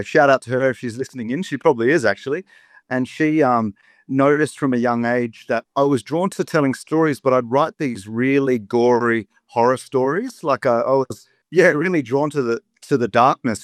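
Australian male guest, 30s, relaxed anecdotal talker, mid pitch, dry studio sound. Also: no echo. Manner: warm storyteller, chatty with many "you know" asides. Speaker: guest, poet and rapper. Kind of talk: relaxed reflective interview talk.

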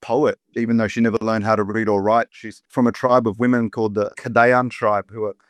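A man's voice: lowish voice, little pitch movement, brisk, no echo. A man in his thirties with an Australian accent, a poet and rapper telling stories as an interview guest, chatty with many asides. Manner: warm storyteller, chatty with many "you know" asides. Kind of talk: relaxed reflective interview talk.

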